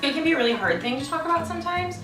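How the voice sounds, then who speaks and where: A twenty-one-year-old university student speaking reflectively, often trailing off softly at the ends of sentences. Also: female voice, high voice, expressive, very fast, reverberant, distant mic, over music. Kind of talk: earnest awareness talk.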